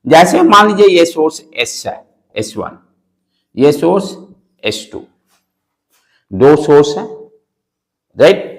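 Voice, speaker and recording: A man with an Indian accent and a loud voice lecturing as a physics teacher, posing questions, repeating key phrases and mixing in English. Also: a little high, big pitch swings, takes it slowly, long pauses.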